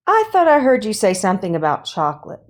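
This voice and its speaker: A woman's voice: mid-range voice, sing-song, quick pace, lightly acted. An eager schoolboy played by a woman reading aloud, chatty and keen, talking to a classmate in a children's storybook. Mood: eager, curious. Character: eager, chatty schoolboy who loves dessert.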